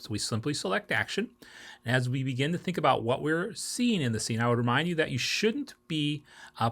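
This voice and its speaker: A man in his forties hosting an informal explainer tutorial, with a halting delivery that often stumbles and corrects itself. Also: mid-range voice, a bit bright. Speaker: video tutorial host. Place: small home office with bookshelves.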